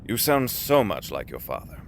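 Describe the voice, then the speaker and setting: An actor playing a courteous middle-aged executive, avuncular and formal, with genteel, deliberate phrasing in an audio drama. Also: male voice, deep voice, big pitch swings, a little hiss or hum. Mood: warm, pleased.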